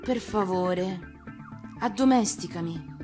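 A woman voicing a gentle, earnest female fox who explains things patiently in an expressive storybook manner. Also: mid-range voice, slow, over music, lightly acted. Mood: pleading.

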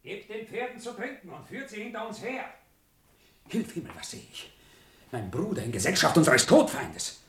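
High-voiced, hot-headed vengeful nobleman. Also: huge pitch swings, moderate pace, fairly bright tone, reverberant, a little hiss or hum, exaggerated voice. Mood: shocked. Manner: vehement, declamatory. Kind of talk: German radio play acting of classic drama.

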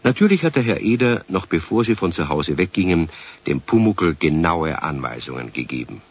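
A male narrator with a deep, husky voice reads a scripted children's story. He tells it as a radio storyteller, on a dull vintage recording. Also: very animated, normal speed, no echo.

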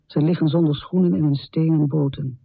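An actress playing a troubled, confessional middle-aged woman poet in a radio drama, speaking intimately with a low, husky voice. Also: steady tone, fairly quick, no echo, phone-quality sound. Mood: brooding, grave. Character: troubled, confessional American woman poet, heavy drinker, death-obsessed. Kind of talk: radio drama monologue and poetry recital.

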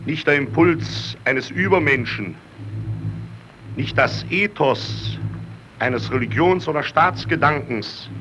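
An actor playing an earnest, solemn narrator who proclaims a heroic tale in emphatic old German radio-play style. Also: male voice, a little high. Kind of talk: 1920s-30s German radio play, declamatory. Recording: muffled, noisy old radio recording.

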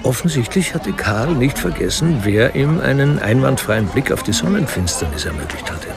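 A man's voice: mid-range voice, sing-song, moderate pace, creaky, over music. A man giving scripted narration in a German radio crime drama.

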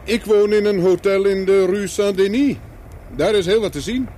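A man acting an affable taxi passenger in a radio drama, speaking fairly high. He makes easy, casual small talk, with faint car noise behind him. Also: normal speed, warm tone, natural voice. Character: easygoing male taxi passenger making guarded small talk. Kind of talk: radio play dialogue. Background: car and traffic.

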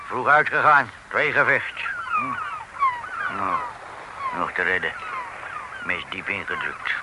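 Fussy captain, clipped fragments, wild swoops of pitch, hissy old tape. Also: male voice, wildly swooping pitch, slow. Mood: brusque. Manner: clipped telegraphic phrases, muttering hums. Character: blustering village captain speaking in clipped fragments. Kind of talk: old radio play acting. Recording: hissy old radio recording.

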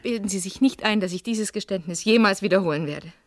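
A woman's voice: medium pitch, fast, close to an everyday voice. An actress playing a proud, defiant French woman cornered as a murder suspect in a 1980s German radio crime drama, formal and defensive. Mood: cool, defiant.

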